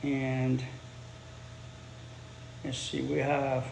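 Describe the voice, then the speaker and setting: A man's voice: mid-range voice, monotone, extremely slow, vocal fry, a little mellow, a little hiss or hum. A man whose first language is Spanish, teaching English and chatting casually with viewers on a livestream, often repeating his phrases for learners. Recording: roomy echo, faint ambience.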